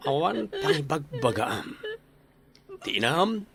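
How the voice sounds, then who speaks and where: A man's voice: fairly high pitch, very animated, slow pace, vocal fry, a crowd in the background, exaggerated voice. An actor playing a menacing male predator in a radio drama, muttering threats over background music. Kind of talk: radio drama acting in Ilocano. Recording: music under the voice.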